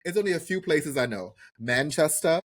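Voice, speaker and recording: A man's voice: a little high, animated, normal speed. Slangy man chatting on a podcast. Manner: slangy, swears freely, emphatic repetition. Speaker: podcast co-host. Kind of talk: casual chat, TV show recap.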